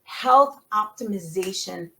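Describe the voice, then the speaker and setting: Middle-aged woman, Italian dialect as a first language, animated and emphatic guest, mid-pitched voice. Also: takes it slowly, low-quality recording. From United States. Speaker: podcast guest. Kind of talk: casual conversation.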